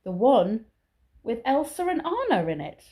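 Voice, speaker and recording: A woman's voice: on the high side, very animated, measured pace, mellow. A woman talking casually to camera in a vlog, chatty and prone to rambling. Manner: rambling, chatty, self-aware waffling. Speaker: vlogger.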